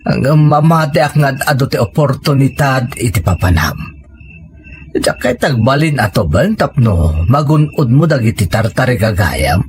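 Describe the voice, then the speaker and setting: Man acting humble, doting Ilocano village father, animated. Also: mid-range voice, moderate pace, faint music behind, natural voice. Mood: resigned, caring. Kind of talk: audio comedy-drama acting.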